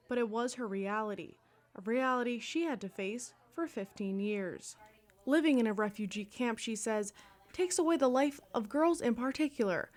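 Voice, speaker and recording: Young woman reporter, voice-over narration, a bit high-pitched, calm and deliberate. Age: young adult. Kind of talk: news package voice-over.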